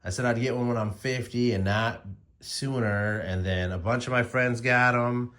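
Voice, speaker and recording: A man's voice: medium pitch, steady tone, normal speed, fairly bright tone. An American man in his forties talking casually and informally to his phone as a motorcycle vlogger, rambling in a slangy, conversational way. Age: 40s. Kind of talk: casual rambling vlog to camera.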